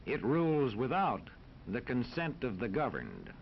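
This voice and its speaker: An American man narrating a scripted 1940s educational film in a formal, authoritative, measured manner. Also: medium pitch, wildly swooping pitch, gravelly. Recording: dull 1940s film soundtrack, faint noise.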